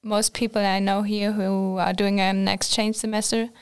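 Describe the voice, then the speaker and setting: A woman in a casual group chat, answering in a calm, matter-of-fact way. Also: medium pitch, normal speed, smooth.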